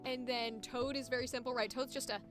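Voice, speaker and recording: A young woman with a high-pitched voice, chatting casually about voice acting on a live stream and now and then trying out squeaky character voices. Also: fairly even intonation, fairly quick, soft background music. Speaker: voice actor streaming.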